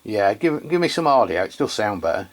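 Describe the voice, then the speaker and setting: A man's voice: lowish voice, very animated, fairly quick, noisy background. A man with an English accent chatting casually as a radio reviewer, in a hesitant, rambling, matey way. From England. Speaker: radio review channel host. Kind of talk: casual radio chat and product testing.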